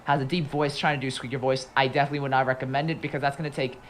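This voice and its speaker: A man's voice: mid-range voice, flat delivery, racing. Rambling young man, light background hiss. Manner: rambling, repeats himself, laughs often. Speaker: guest voice actor. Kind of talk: casual livestream chat.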